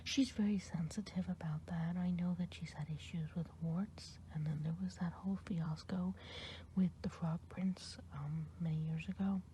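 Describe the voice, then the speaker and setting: A woman voicing a kind, gossipy good witch in an ASMR roleplay, whispering close to the mic and rambling with gentle hesitations. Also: lowish voice, fairly even intonation, normal speed, slight background noise, lightly acted. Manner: whispered throughout.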